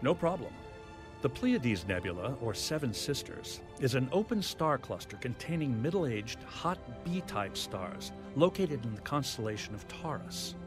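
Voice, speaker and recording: A dry, knowledgeable crewman aboard a game starship. His mid-pitched male voice is formal yet moves a lot, with music clearly underneath. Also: big pitch swings, unhurried. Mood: dry, informative. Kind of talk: scripted game voice acting. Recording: music behind the voice.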